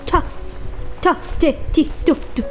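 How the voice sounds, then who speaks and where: A woman's voice: unhurried, fairly bright tone, faint music behind. A woman with a Filipino accent and native Tagalog, chatting casually as co-commentator on a video game. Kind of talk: casual Taglish gameplay chat.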